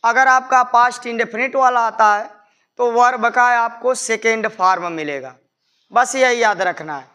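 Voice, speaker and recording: Male Indian tutor, bright, crisp voice, step-by-step didactic delivery. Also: very high voice, a little slow. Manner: didactic, repeats instructions. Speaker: English grammar teacher. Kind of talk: instructional lesson, explaining step by step in Hindi with English terms.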